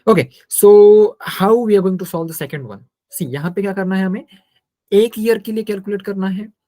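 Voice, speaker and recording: A man's voice: high-pitched, racing, mellow, dry recording. A man with an Indian accent teaching an interactive online maths class, working through problems aloud and often checking in with students as he switches between Hindi and English.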